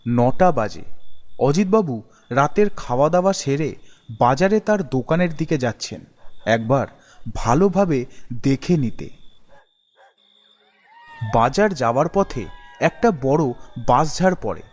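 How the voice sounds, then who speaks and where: A man's voice: fairly high pitch, lively intonation, measured pace, long pauses, a little mellow, in a large hall. A man narrating a scripted ghost story for an animation.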